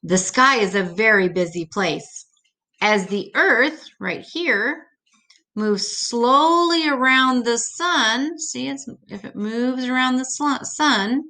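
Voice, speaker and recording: Slow-paced older woman reading to children. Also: fairly high pitch, sharp tone. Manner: simple, patient explaining. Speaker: storyteller reading a children's educational book. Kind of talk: read-aloud to children with explanatory asides.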